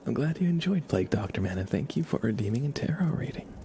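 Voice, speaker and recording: A man hosting an ASMR livestream in a soft, gentle, encouraging voice that often slips into whispering. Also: medium pitch, big pitch swings, fast, heavy background noise. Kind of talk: ASMR, soft-spoken live stream with whispering.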